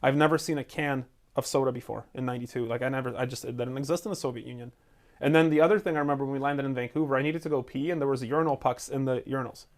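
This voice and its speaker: A man in his forties, from Ukraine, whose first language is Russian, sits as the guest in a casual interview. He talks at a very quick clip and slips into small voices when he retells what people said, with a mid-range pitch. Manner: fast, animated, acts out quotes. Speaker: guest, entrepreneur.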